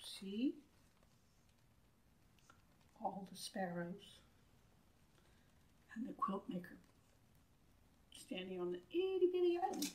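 A woman in her sixties reading a children's story aloud in an expressive, deliberate way, with asides to listeners, recorded indoors a few feet from the camera. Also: mid-range voice, slight background noise, poor audio. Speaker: storybook reader. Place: wood-panelled church room, indoors. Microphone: camera a few feet away.

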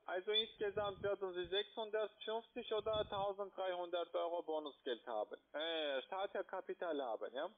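A man with a foreign accent, not a native speaker, gives an insistent phone sales pitch in halting phrases. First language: not German. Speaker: call-centre investment salesperson. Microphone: phone call. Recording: tinny narrow-band phone line.

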